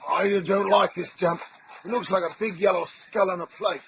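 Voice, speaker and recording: A man's voice: sits high, fairly even intonation, a little fast. A surly tough-guy flyer from a radio serial. He talks in grudging, wisecracking slang. The sound is thin and phone-like, with background noise. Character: surly, reluctant tough-guy pilot held at gunpoint. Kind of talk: old-time radio adventure acting. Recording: tinny band-limited radio drama, background noise.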